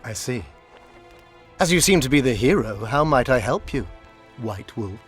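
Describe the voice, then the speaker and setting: An actor playing a condescending, scholarly court sorcerer in a video game, pompous, silky and mock-courteous. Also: male voice, mid-range voice, expressive, a little slow, soft background music, close to an everyday voice. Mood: oily courtesy. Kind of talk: video game dialogue acting.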